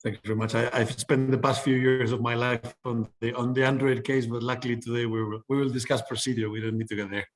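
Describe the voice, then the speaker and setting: A man's voice: medium pitch, flat delivery, quick pace, few pauses. A man teaching an online class, speaking informally and directly as he guides his students. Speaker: course instructor.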